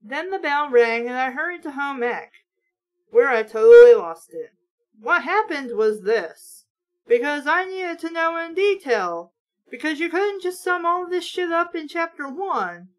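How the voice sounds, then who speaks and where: A woman's voice: high voice, expressive, measured pace, poor audio. A woman reading a story aloud and breaking in with sarcastic, exasperated, sweary commentary as host of a mock book show.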